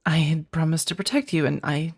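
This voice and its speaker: A woman voicing an ancient, protective vampire in an ASMR roleplay, with a breathy husky voice and a measured, formal, hushed delivery. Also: sits low. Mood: tender, anxious. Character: ancient female vampire, protective, stern, tender to her beloved. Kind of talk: ASMR roleplay audio drama.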